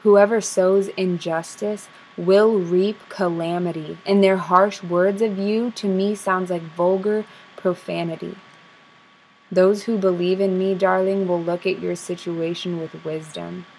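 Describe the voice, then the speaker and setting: A young woman recites spoken word poetry in a soft, intimate, rhythmic rhyming delivery, recorded on a close webcam in a small dim room. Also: fairly low pitch, fairly even intonation, unhurried, a little hiss or hum, poor audio. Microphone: webcam at close range.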